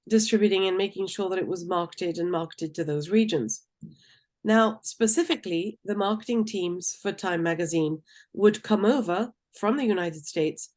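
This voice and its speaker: A woman, answering interview questions in an articulate, measured way, with the occasional um. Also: medium pitch, darkish tone. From Tunisia. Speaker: guest.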